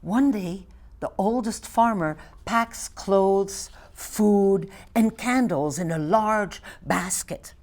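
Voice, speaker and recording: An elderly woman from Belgium in her eighties reads her poems aloud in a Flemish accent, English not being her first language. Her pace is slow and deliberate, soft and measured, and some passages sink to a near whisper. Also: medium pitch. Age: 80s. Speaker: poet. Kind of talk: poetry reading.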